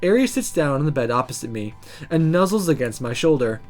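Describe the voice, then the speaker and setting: Expressive man narrating, high animated voice. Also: brisk, faint music behind. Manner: expressive, story-telling. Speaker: visual novel player and narrator. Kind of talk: dramatic read-aloud narration of game text.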